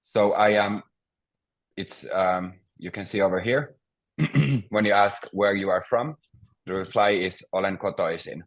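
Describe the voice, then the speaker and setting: A man's voice: fairly low pitch, measured pace. A man teaching a beginner language class, patiently prompting students and explaining grammar step by step.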